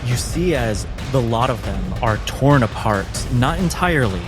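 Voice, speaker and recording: A man's voice: mid-range voice, animated, normal speed, music in the background. A man narrating as game master, describing the scene and calling turns in a tabletop role-playing game.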